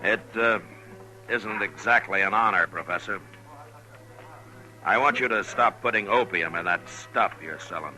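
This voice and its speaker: A man playing a firm, calm, plainspoken frontier marshal in an old-time radio western. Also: fairly low pitch, unhurried, long pauses, faint music behind, low-quality recording. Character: steady, firm, laconic male frontier marshal.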